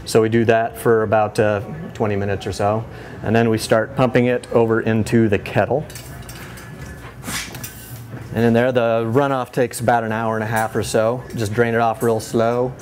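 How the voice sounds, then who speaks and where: A man in his thirties with a lowish voice talks casually through a brewery tour, easygoing and loose, stopping often on fillers and restarts. He speaks on a lapel mic among steel tanks in a small brewery tucked inside a bar. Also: fairly quick, a little hiss or hum. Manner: relaxed, many fillers and restarts. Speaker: head brewer.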